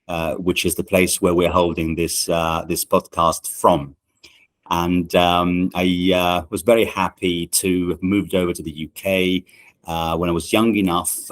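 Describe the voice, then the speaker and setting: A middle-aged man from Italy talks in a deep, low voice worn smooth by life in Britain. He answers a podcast host thoughtfully, pausing often on fillers and restarting phrases. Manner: measured, with many "uh" and "um" pauses and restarts. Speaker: management consultant and guest. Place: podcast studio.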